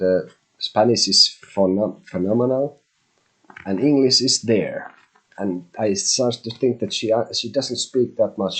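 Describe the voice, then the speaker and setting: Low, husky Finnish-accented man. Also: darkish tone, rough recording. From Finland. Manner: loose and chatty, with restarts and mild swearing. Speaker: tarot reader hosting a live stream. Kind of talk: casual rambling monologue.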